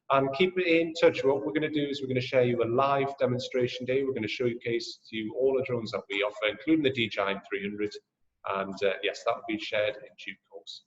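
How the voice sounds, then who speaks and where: A man from Newcastle with a Geordie accent, hosting a webinar and making a friendly sales pitch full of ums and uhs. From Newcastle, England. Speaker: webinar host and drone sales trainer. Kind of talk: presenting a webinar and answering questions. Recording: echoey, dull webinar audio.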